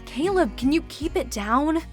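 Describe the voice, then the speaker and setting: A woman's voice: sits high, animated, unhurried, over music. A woman playing a protective young supernatural woman who keeps secrets, earnest and pleading, in amateur roleplay acting. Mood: pleading, upset.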